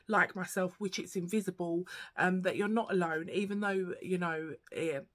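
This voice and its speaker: A woman in her thirties speaks to camera from her bedroom, her voice congested and quiet from a cold. Her tone is bright and her pitch sits a little low. She talks in a gentle, chatty way, with plenty of ums. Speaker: YouTube fashion vlogger. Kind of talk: casual chat to camera. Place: bedroom at home, indoors. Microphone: camera at close range.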